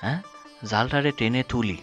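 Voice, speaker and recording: A man's voice: mid-range voice, moderate pace, fairly bright tone, close to an everyday voice. Man acting a bumbling rustic village fisherman, music behind. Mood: amazed, excited. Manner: rustic, muddles words and corrects himself. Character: simple, cheerful village boatman fisherman, bumbling husband. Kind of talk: animated folk tale dialogue. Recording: music bed under dialogue.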